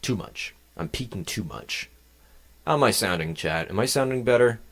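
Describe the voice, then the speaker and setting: Young male streamer for a coding session: low, gravelly voice, lively and jumpy, talks in tangents and swears casually. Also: moderate pace. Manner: rambling, jumps between tangents, swears casually. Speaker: game developer streaming. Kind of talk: casual live-stream chat while coding. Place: home desk, indoors.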